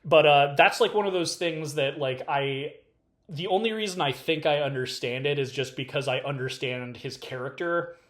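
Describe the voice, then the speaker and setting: A man's voice: fairly high pitch, normal speed. A man with a Californian accent chatting casually as a podcast co-host, full of fillers and restarts. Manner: rambling, filler-heavy, animated. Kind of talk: casual conversation.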